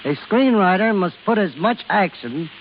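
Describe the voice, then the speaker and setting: A man's voice: high voice, lively intonation, moderate pace. A man giving a formal scripted talk as a guest executive on 1930s radio. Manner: formal read delivery. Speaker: guest speaker, film studio executive. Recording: muffled, hissy old radio recording.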